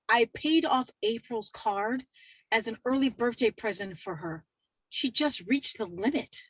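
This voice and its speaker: A woman's voice: a little high, animated, slow pace, husky, heavily acted, rough recording. A woman reading the part of a worried wealthy mother, in an amateur table read.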